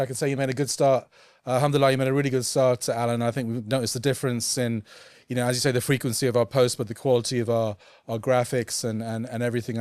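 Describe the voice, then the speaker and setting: A man co-hosting a casual studio chat as editor, asking relaxed, friendly questions. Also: mid-range voice, no room sound. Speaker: editor and co-host.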